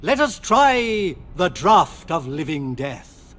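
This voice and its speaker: Casting: pompous, jovial older schoolmaster for a game, high voice swooping widely. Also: male voice, sing-song, slow pace, fairly bright tone, a little hiss or hum, exaggerated voice. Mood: jovial, encouraging. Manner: pompous, theatrical delivery. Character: jovial, theatrical older male potions professor. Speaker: teacher. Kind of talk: video game voice acting.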